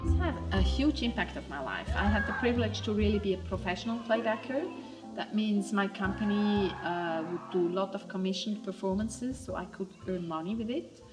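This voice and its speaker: A woman's voice: medium pitch, fairly quick, poor audio. A woman giving reflective interview-style talk as a theatre practitioner. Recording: clear music bed underneath.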